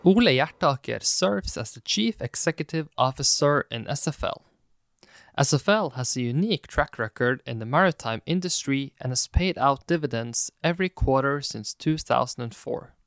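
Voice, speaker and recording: A man's voice: mid-range voice, expressive, normal speed, creaky, fairly bright tone, no echo, studio quality. A man hosting an interview podcast, presenting in a scripted, explanatory style. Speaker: podcast host.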